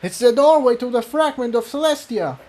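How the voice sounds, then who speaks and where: A man's voice: very high voice, expressive, brisk, a little mellow, voice pushed up. A man voicing a determined male unicorn pony in a storybook reading, earnest and urgent.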